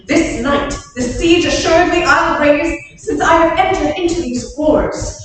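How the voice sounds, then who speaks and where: A woman's voice: extremely high pitch, unhurried, faint music behind. A woman acting a defiant young warrior maiden in bold, declamatory Shakespearean verse. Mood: resolute. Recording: very echoey room, distant mic, noise.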